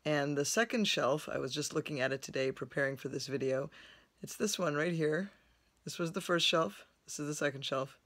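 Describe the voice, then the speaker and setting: A woman from Lebanon hosting a book review vlog from her home library, speaking in a thoughtful, measured way with frequent pauses. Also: low voice, a bit bright. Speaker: host.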